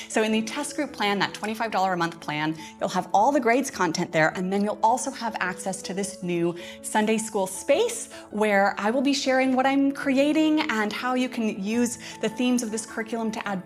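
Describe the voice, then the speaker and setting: A woman hosting a casual live-stream update for members, talking in a chatty, informal way. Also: mid-range voice. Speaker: host, homeschool curriculum creator.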